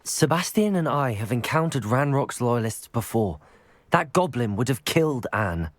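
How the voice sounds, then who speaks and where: Male actor as tactful young wizard for a fantasy game, measured and courteous, melodic delivery, close dry recording. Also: medium pitch, expressive, no room sound, natural voice. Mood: polite, earnest. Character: polite, level-headed young male wizard student. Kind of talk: video game voice acting.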